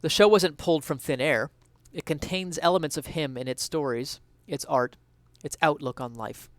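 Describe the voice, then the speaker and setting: A man with a slightly high, clean and resonant voice performs an essay as a dramatic reading. His phrasing is earnest, deliberate and theatrical. The recording is close and dry. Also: dry recording. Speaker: reader of an essay.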